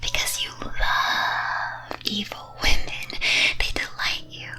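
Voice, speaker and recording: A woman whispers a scripted ASMR monologue in a breathy, teasing, theatrical and deliberate way. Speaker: streamer performing as a character.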